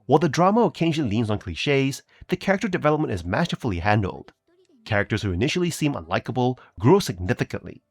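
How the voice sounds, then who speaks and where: A man's voice: mid-range voice, dry recording, studio quality. A man narrating scripted video game reviews as a reviewer, with a polished, promotional delivery.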